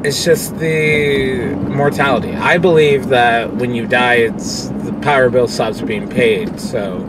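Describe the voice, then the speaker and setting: Young man, dashboard phone recording, rambling and candid, clear road noise in the car. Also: mid-range voice, steady tone, slow. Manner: rambling, candid, swears freely. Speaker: vlogger and pro wrestler. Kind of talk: casual talk to camera while driving. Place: inside a car, driving. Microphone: phone camera on dashboard. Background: road and engine noise.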